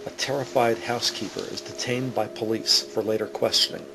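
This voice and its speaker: A man's voice: on the low side, vocal fry. A man narrating scenes of an audio drama in a scripted, dramatic storytelling style. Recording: noisy recording with background ambience.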